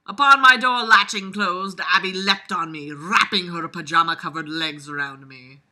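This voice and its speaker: A woman's voice: lowish voice, normal speed, sharp tone. A woman voicing an earnest, lovestruck teenage boy narrator from a novel, in a mocking, melodramatic way. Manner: mocking, overdramatic reading.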